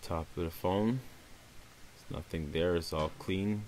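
A man, casually talking viewers through a phone unboxing in a relaxed, upbeat way. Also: sits low, unhurried, a little hiss or hum. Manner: relaxed, upbeat presenter addressing viewers as guys. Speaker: tech reviewer.

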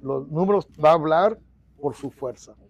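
A middle-aged man with a Mexican-American accent speaks on a lapel mic in a small office. His voice sits a little high and carries a gravelly edge. He tells his stories in Spanish, drops English words in, and restarts his phrases often. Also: normal speed, no room sound, dull audio. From United States. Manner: mixes English into Spanish, many restarts, animated hand gestures. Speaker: union organizer guest. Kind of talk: storytelling interview.

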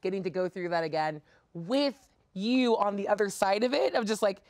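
A woman's voice: medium pitch, animated, moderate pace, darkish tone, dry recording, studio quality. A woman in her thirties chatting casually as a playful, gushing guest on a talk show. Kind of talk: casual chat. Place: indoor studio set.

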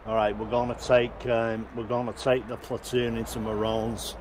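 A man's voice: medium pitch, flat delivery, noisy background. A man leading a platoon in a war game, briefing the squad firmly. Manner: measured, firm briefing. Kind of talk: giving orders.

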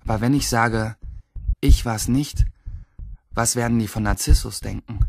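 A man acting a stressed, secretive teenage schoolboy narrating his own life in a radio play, with a husky, gravelly voice. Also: mid-range voice, normal speed, darkish tone, no echo. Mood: brooding, secretive. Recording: dry studio, faint music behind.

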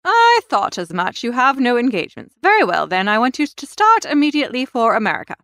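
Imperious scolding aunt, swooping pitch woman acting. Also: huge pitch swings, brisk, fairly bright tone, no room sound, full character voice. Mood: scornful, commanding. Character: Aunt Agatha, bossy, formidable, critical upper-class older aunt.

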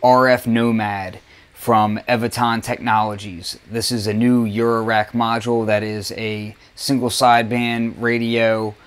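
A man's voice: fairly low pitch, level tone, a little slow, smooth. Casual man demonstrating music gear. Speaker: presenter of music gear demos. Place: basement studio.

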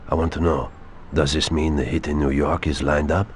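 An actor playing a grave, suspicious male gangster in a video game, blunt and deliberate. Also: very deep voice, flat delivery, slight background noise.

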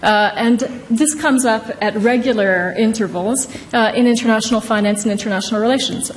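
Higher-pitched woman lecturing in an echoing hall. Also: brisk. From Indonesia. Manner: conversational, frequently tags points with "right?". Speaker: law professor, author presenting her book. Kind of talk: informal academic talk at a podium. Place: large wood-panelled courtroom-style hall. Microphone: podium microphone. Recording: reverberant wood-panelled hall, some noise.

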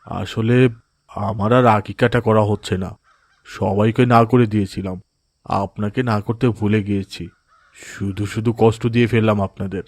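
A man plays a cartoon father who is easily swayed and dejected. His voice sits fairly low and comes across earnest and hesitant. The sound is dry, with little room around it. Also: steady tone, normal speed, darkish tone, dry recording. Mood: regretful. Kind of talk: cartoon voice acting in moral story.

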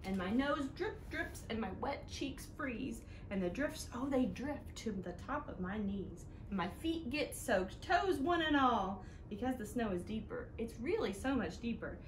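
A woman hosting a children's storytime, reading aloud in an animated, sing-song way that speaks directly to little listeners. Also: a little high, measured pace, hardly any pauses. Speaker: children's storytime host at a library. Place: living room indoors, seated on a couch. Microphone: camera a few feet away. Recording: roomy home sound with noticeable background noise.

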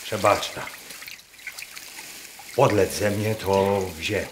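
An actor playing a troubled, pious peasant preacher in a radio play, with a dialect and folksy declamation. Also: male voice, lowish voice, steady tone, very slow. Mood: bitter, troubled. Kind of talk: dialect radio drama acting. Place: wooden barn performance space. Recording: roomy barn, noisy with water clicks.

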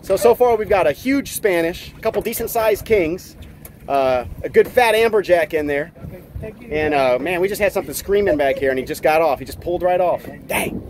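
Guy in his 40s, high voice, hyped, talking while reeling fish on a boat. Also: expressive, moderate pace, darkish tone, slight background noise. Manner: upbeat, narrates while fighting fish, exclamations. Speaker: fishing vlogger. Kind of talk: excited fishing narration. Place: small fishing boat offshore, outdoors. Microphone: action camera, sometimes selfie at arm's length.

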